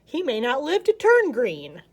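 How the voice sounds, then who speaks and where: A woman's voice: high voice, wildly swooping pitch, a little slow, pitched above the performer's own voice, heavily acted. Woman as a grumbling, lazy old python. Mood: gloomy. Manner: grumbling, moaning. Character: grumpy, lazy older python with indigestion.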